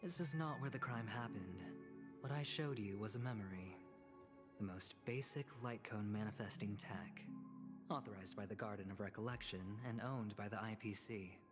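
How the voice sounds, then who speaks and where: An actor playing a smooth-talking, self-assured male schemer in video game story dialogue, theatrical and persuasive, over music. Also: on the low side, normal speed, lightly acted. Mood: defensive, persuasive.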